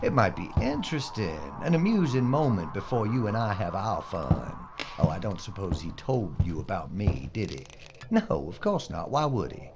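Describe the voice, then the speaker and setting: An actor playing a gloating Old West villain, taunting and mock-genteel in a Western audio drama. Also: male voice, lowish voice, wildly swooping pitch, moderate pace. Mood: gloating menace. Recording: muffled lo-fi with clear noise.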